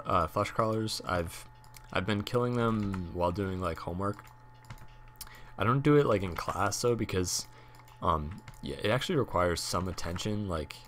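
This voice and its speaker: A young man with a hoarse voice from a cold, rambling casually with many fillers while talking about a game he streams. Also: sits low, fairly bright tone, slight background noise. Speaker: gaming YouTuber and streamer. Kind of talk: casual talk over gameplay.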